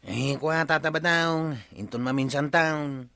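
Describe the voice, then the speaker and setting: A drawling village watch chief with an Ilocano accent in a radio comedy. He speaks very slowly in a gravelly voice with a bright, pinched edge. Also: a little high, full character voice. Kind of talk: comic radio drama acting.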